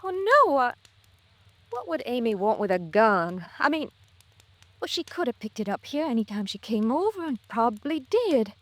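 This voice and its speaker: An actress playing a distraught, fragile American widow in an old-time radio drama, with a halting, emotional delivery. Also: female voice, a little high, big pitch swings, no echo. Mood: bewildered. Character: fragile, distraught grieving widow, self-blaming and defensive.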